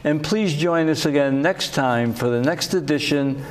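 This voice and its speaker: An older man hosting a political talk show, speaking slowly and deliberately with frequent pauses and fillers. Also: fairly high pitch. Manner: slow and deliberate, many uh fillers. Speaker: host.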